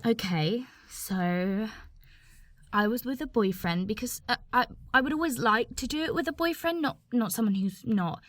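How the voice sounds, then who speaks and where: Giggly young woman, lively high voice. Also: normal speed, soft background music. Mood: shy, confiding. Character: frank, giggly young woman confiding in friends. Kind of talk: radio play dialogue, naturalistic and conversational.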